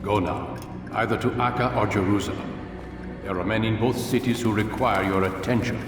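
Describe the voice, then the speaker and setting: An actor playing a stern, measured older master of assassins in a video game, speaking formally and deliberately, as if lecturing. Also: male voice, on the low side, fairly even intonation, recorded from a distance, natural voice. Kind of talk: video game cutscene acting. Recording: very echoey hall, music behind.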